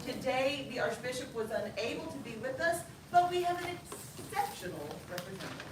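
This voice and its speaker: A woman's voice: high-pitched, very animated, noisy background. Woman addressing a room, distant and echoey. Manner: measured, formal welcoming speech. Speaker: interfaith alliance director. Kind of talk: addressing a gathering. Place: meeting room.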